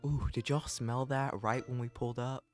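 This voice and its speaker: Man bantering over loud music. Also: medium pitch, flat delivery, moderate pace. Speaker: host playing a parent in a roleplay. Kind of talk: improvised video game roleplay chat. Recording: background music throughout.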